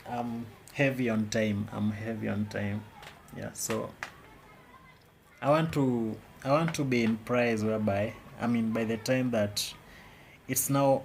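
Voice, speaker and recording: A man's voice: mid-range voice, unhurried, creaky, a little mellow, a little hiss or hum. A man with a Kenyan accent giving casual live commentary on his trades, often repeating words and phrases. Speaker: day trader.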